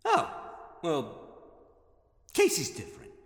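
A man playing a cynical, bitter best friend in an audio drama, with a sardonic, emphatic, drawn-out delivery. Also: fairly high pitch, wildly swooping pitch, a bit bright, slight background noise, full character voice. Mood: insistent warning. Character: bitter, cynical best friend ranting about deadly women.

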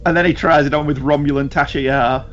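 A man's voice: on the high side, fairly even intonation, fast talker, dull audio, a little hiss or hum. A British man co-hosting a podcast, chatting casually with a wry, joking manner. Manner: wry, joking, breaks into sing-song bits. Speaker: podcast co-host. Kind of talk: casual chat.

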